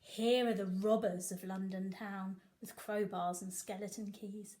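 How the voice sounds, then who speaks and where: A woman teacher reading a picture book aloud to young children, with animated, sing-song emphasis. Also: mid-range voice, unhurried. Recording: echoey room.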